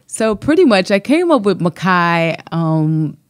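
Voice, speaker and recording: A woman's voice: on the low side, sing-song, measured pace, no room sound. German-accented woman, earnest life coach guest on a dry, close mic. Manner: earnest, motivational, faith-filled. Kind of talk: radio interview, personal testimony.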